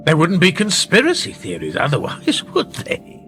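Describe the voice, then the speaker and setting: An actor playing a powerful, manipulative titled gentleman in a radio play, measured and condescending, with quiet menace. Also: fairly high pitch, huge pitch swings, full character voice. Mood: smugly knowing. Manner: measured, condescending, with rhetorical tag questions. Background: music.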